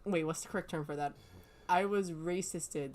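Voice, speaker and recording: A woman from Hawaii co-hosting a casual podcast chat, blunt and quick to joke with loud laughs. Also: lowish voice. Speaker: podcast co-host.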